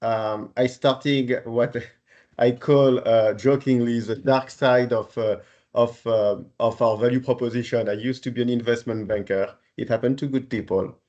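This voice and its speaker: Male executive guest, forties, French accent, medium pitch, measured hesitant conversational delivery, slightly dull sound. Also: steady tone, a little mellow. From Paris, France. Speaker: podcast guest, renewable energy executive. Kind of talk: conversational career account in interview.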